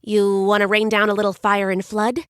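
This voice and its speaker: A woman's voice: medium pitch, unhurried, a bit bright, clear, resonant tone, dry recording, studio quality, lightly acted. A woman voicing a speedy, chatty male messenger god whose words run together in a jumble. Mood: eager.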